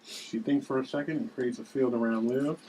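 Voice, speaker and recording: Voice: medium pitch, fairly even intonation, brisk, dark tone, slightly rough, low-quality recording. A narrator reading scene headings and stage directions from a script at a table read.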